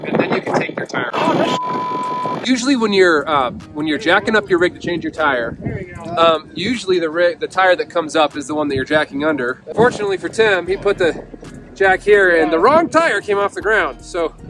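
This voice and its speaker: A man's voice: high-pitched, normal speed, over loud music, low-quality recording. A man in his thirties hosting a casual off-road vlog outdoors, upbeat and laughing, talking into a camera at arm's length. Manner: upbeat, excited, laughs often. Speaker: vlog host. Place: outdoors on a muddy mountain trail.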